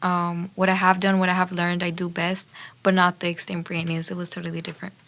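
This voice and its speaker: A woman's voice: fairly low pitch, monotone, fast, a bit bright. Teenage girl from Mexico, candid. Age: teens. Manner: candid, reflective. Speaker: student speech competitor. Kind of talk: casual chat.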